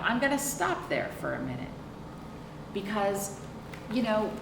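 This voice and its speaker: Middle-aged female storytime reader, expressive and unhurried, questioning young listeners; recorded at a distance in a noisy room. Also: medium pitch, roomy sound. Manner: deliberate, explanatory, asks rhetorical questions. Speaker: children's librarian hosting storytime. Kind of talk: picture book read-aloud with questions to young children. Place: indoor room with sofa. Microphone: camera a few feet away.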